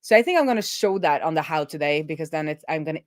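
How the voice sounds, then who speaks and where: A woman hosting a live coding stream, chatting informally and telling things in an animated, conversational way. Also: low voice, fast, no room sound, clean studio sound. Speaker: livestream host and web developer.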